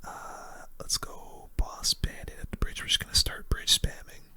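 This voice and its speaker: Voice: normal speed, bright, forceful tone. Whispering ASMR gamer. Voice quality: breathy unvoiced whisper. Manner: casual, mildly grumbling, slangy. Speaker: gaming video host. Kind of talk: whispered ASMR gaming commentary.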